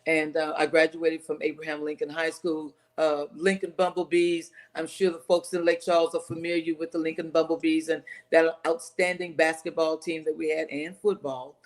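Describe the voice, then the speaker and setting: Woman, middle-aged, low-pitched, earnest, emphatic, conversational interview guest, video call. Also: little pitch movement, normal speed, few pauses. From Texas, United States. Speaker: interview guest, city council candidate.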